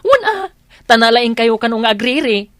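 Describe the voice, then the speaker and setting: Sassy, swooping village gossip. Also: male voice, very high voice, very animated, moderate pace, fairly bright tone, dry recording, full character voice. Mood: taunting. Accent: Filipino, Ilocano. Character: catty, gossipy effeminate male villager, brash and defensive. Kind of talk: comedy radio drama acting.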